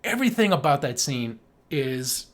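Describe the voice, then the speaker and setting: Podcast co-host, mid-pitched and very animated, chatting casually and enthusiastically about film. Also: moderate pace. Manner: enthusiastic, imitating sound effects.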